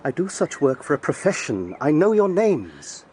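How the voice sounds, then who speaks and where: An actor playing an earnest, persistent young gentleman lawyer in a period audio drama, speaking formally. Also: on the high side, very animated, moderate pace, a little hiss or hum. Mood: insistent. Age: young adult. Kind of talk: British period audio drama, narration and dialogue.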